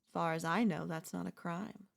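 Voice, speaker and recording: A woman's voice: fairly low pitch, fairly even intonation, normal speed, gravelly. A young woman playing an obsessive, lovesick yandere neighbor in an intimate, intense audio roleplay. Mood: calm, quietly menacing. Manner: intimate, pleading, emotionally intense delivery. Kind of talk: ASMR audio roleplay acting.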